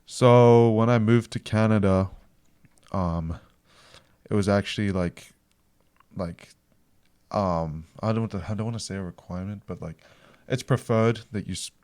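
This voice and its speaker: Podcast guest: young man, lowish clear voice, halting delivery, dry room. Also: a little mellow, dry recording. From South Africa. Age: young adult. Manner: hesitant, many ums and restarts. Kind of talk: casual podcast chat.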